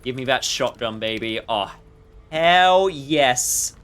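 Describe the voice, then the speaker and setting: A man's voice: mid-range voice, lively intonation, takes it slowly, fairly bright tone, soft background music. A man doing casual let's-play commentary, playfully reacting and muttering to himself, with some swearing. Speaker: game streamer. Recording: faint background noise.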